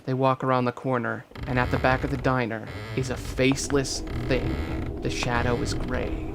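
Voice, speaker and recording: A man's voice: little pitch movement, moderate pace, gravelly, over music. A man reading out a screenplay as narrator, in a dramatic scripted style, at a table read. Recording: loud ambient rumble behind voice.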